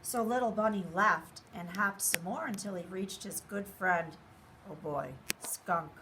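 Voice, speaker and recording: A woman's voice: mid-range voice, measured pace, background noise. A woman reading a children's picture book aloud expressively, speaking directly to young listeners. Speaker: story reader.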